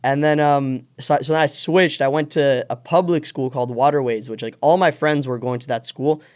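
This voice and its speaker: A man in his twenties with an American accent speaks as a guest in a studio interview. His voice is smooth and clear, and he talks eagerly, with plenty of fillers and restarts. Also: medium pitch, steady tone, moderate pace, darkish tone. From born in Israel, raised in Miami area. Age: 20s. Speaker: startup founder guest. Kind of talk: casual interview. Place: podcast studio.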